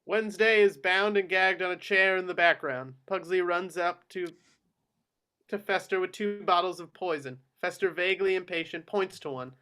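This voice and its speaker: Male narrator for a screenplay table read, fairly high-pitched, reading scene directions. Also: normal speed, sharp tone, clear, resonant tone.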